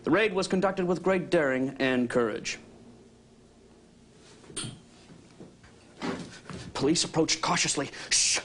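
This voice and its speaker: An actor playing a whiny, sensationalist TV news anchor with a melodramatic manner in a mock broadcast. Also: male voice, on the high side, moderate pace, a bit bright, slight background noise, lightly acted. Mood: pompous. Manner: melodramatic, stammering when challenged.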